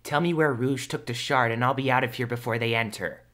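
Man acting young male hedgehog, medium pitch, anxious, earnest, pleading, audio drama. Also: a little fast, fairly bright tone, lightly acted. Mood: insistent.

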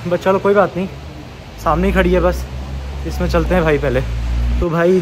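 A man's voice: a little high, background noise. A man in his early twenties with an Indian accent chatting casually as a travel vlogger, mixing in English words. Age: early 20s. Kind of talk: casual vlog chat. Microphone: phone at arm's length.

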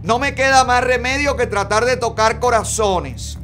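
A man's voice: very high voice, moderate pace, a bit bright, soft background music. Emphatic Cuban man hosting a gossip show. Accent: Cuban Spanish. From Cuba. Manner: emphatic, sarcastic, gesturing. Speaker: host. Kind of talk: gossip commentary. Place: bright studio, seated in a red chair.

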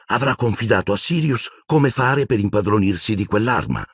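Man playing a tentative teenage boy, husky. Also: lowish voice, lively intonation, dry recording, clean studio sound, voice pushed up. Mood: tense, supportive. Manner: halting, tentative. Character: uncertain, tense but loyal teenage boy.

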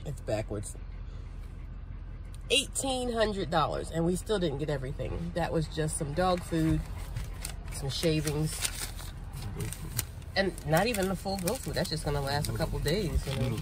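A woman chatting casually behind a handheld camera outdoors on her homestead, laid-back and quick to laugh. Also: deep voice, lively intonation, background noise. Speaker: homestead vlogger filming. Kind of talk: casual vlog chat. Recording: music playing under the voice.

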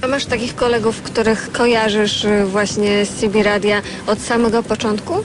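A woman's voice: medium pitch, level tone, normal speed. A woman working as a radio interviewer, asking informal, inquisitive questions in a conversational style. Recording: clearly noisy, street and vehicle sounds.

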